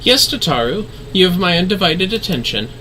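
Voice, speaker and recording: Woman voicing a composed, gracious leader, bright tone. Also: medium pitch, big pitch swings, slight background noise, poor audio. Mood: composed, courteous. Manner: formal, archaic, measured phrasing.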